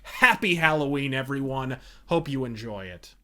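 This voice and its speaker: A man's voice: mid-range voice, lively intonation, normal speed, bright, forceful tone. A man hosting with scripted narration, emphatic and formally wordy in his delivery. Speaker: show host and presenter.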